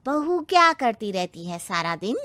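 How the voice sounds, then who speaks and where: Woman playing a nosy high-pitched neighbour. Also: sing-song, measured pace, sharp tone, no room sound, full character voice. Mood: meddling. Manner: needling, gossipy. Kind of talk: Hindi family cartoon character voice.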